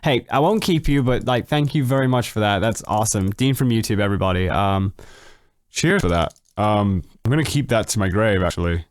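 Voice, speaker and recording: A man's voice: a little fast, no echo. Chatty young man on a dry mic. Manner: chatty, swears freely, jumps up in pitch when excited. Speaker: YouTuber answering viewer questions. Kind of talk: casual late-night livestream chat. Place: home at night.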